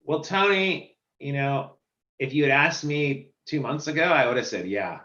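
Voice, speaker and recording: A man who runs a software company, explaining filing statistics in a casual video call chat. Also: mid-range voice, normal speed, reverberant, lo-fi sound. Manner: explanatory, walks through statistics.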